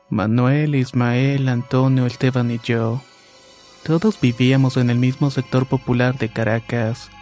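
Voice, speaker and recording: A man reads scripted horror stories in a calm, level voice with a dark, mellow tone. His pitch barely moves as he reads, and soft music plays underneath. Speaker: narrator. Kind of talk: scripted horror story narration.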